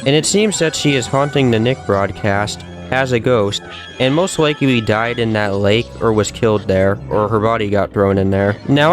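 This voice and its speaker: Earnest male video commentator over music. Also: medium pitch. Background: background music.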